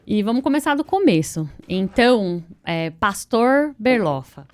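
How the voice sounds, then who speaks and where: A woman's voice: mid-range voice, big pitch swings, measured pace. Chatty woman hosting a videocast. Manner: chatty, hesitant, many fillers and restarts. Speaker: interview host. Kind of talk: videocast interview.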